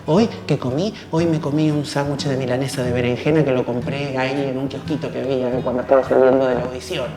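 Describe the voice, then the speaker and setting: A man's voice: medium pitch, steady tone, fast, crowd noise, close to an everyday voice. A man with a Buenos Aires accent playing an insecure, intense artist in an improvised, theatrical monologue, repeating phrases for dramatic emphasis. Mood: nervous. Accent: Buenos Aires Argentine.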